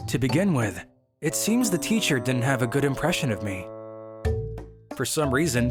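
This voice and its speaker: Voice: medium pitch, lightly acted. A performer voicing a brooding, cowardly teenage schoolboy who narrates a manga story in a melodramatic, scheming way. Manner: melodramatic, sinister chuckling schemer.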